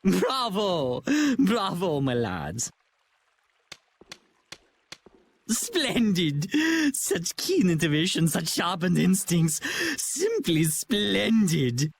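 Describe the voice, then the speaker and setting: An actor playing a flamboyant, gleeful villain in a video game, with grandiose, mocking theatrical delivery. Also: male voice, sits high, very animated, slow pace, vocal fry, few pauses, a little hiss or hum, full character voice. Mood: mocking praise. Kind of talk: dramatic video game voice acting. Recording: loud music under the dialogue. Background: game music.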